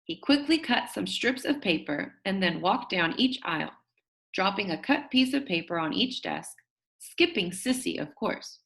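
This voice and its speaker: A woman's voice: medium pitch, expressive, unhurried, creaky, a bit bright. A woman reads a children's story aloud, telling it expressively and acting out the characters' lines. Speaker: audiobook reader.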